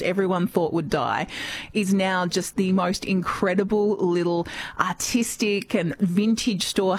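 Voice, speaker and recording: Australian woman radio host, fairly low voice, easy upbeat chat.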